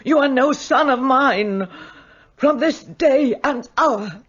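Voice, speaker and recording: A cold, unforgiving older mother in a radio play. She speaks slowly and sternly, in a very high and husky voice. The sound is dull and lo-fi. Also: fairly bright tone, heavily acted. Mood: icy. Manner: stern, icy, formal.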